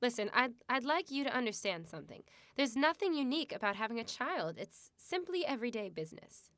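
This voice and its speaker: A woman's voice: on the high side, very animated, brisk, a bit bright, no room sound. A woman playing a wry, unsentimental expectant mother in a radio drama, speaking in a composed, matter-of-fact way. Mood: earnest, philosophical.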